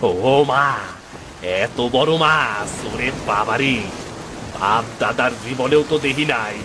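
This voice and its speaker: A man playing an excitable village fisherman in a folk-tale cartoon, speaking colloquial rural Bangladeshi Bengali with exclamations. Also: medium pitch, slow, background noise, natural voice. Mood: amazed, excited. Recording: music behind the voice.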